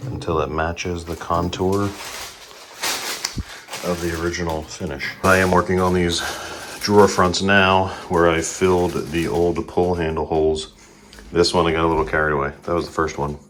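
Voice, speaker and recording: Man, 40s, DIY vlogger: deep, even-toned, relaxed how-to talk, phone mic with scraping and sanding noise. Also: moderate pace. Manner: relaxed, plain step-by-step explaining. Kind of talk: casual how-to narration while working. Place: small indoor room at home. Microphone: handheld phone camera.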